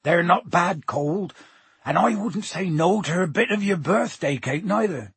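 Male narrator as gruff giant gamekeeper, very raspy, raised pitch, folksy, hearty, proud, storybook character. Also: lively intonation, a little slow, a bit bright, no room sound, exaggerated voice. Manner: folksy, colloquial, dropped words.

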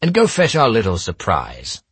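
A man's voice: lowish voice, wildly swooping pitch, normal speed, fairly bright tone, slightly rough, dry recording, voice pushed up, exaggerated voice. A man voicing a smug, menacing male villain for an audiobook, with a commanding, sneering delivery. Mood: snapping orders. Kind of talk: audiobook character dialogue.

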